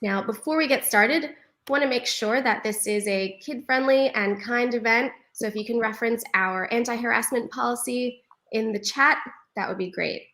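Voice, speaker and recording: A woman's voice: mid-range voice, fairly bright tone. A woman from New York City moderating a live online panel over a video call, upbeat and laughing often. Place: home living room.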